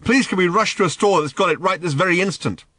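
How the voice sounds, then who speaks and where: A man's voice: a little fast, no room sound, clean studio sound. A man doing a frazzled, sarcastic everyman. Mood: sarcastic ranting. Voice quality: high strained, rising to near-shouts. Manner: sarcastic, hesitant with uhs when calm. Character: exasperated frazzled human man, sarcastic and fretful.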